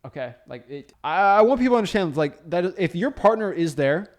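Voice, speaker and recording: A young man coaching listeners on real estate wholesaling in an emphatic, energetic teaching talk, often checking in with "right?". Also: high voice, a little fast. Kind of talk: motivational teaching talk to camera.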